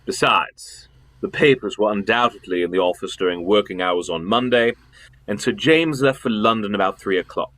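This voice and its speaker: An actor playing a pompous, imperious elder-brother government official in a radio drama, with emphatic theatrical delivery. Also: male voice, lowish voice, moderate pace, a bit bright, lightly acted. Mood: brisk, assured.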